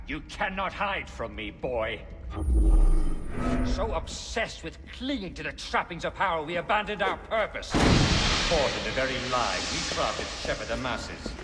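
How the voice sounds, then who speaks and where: An actor playing a taunting, power-hungry Templar mastermind in a video game, declaiming with theatrical contempt. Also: male voice, a little high, very animated, unhurried, over loud music. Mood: menacing, taunting. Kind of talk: dramatic video game villain monologue. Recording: echoey hall reverb with faint noise.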